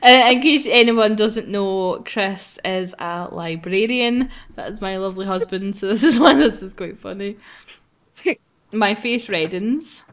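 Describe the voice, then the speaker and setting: A woman's voice: medium pitch, unhurried, a bit bright. A woman with a mid-range voice hosts a gaming session. She reads visual novel lines aloud casually and playfully, giggling and poking fun at herself. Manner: giggly, self-mocking. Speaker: gaming video host.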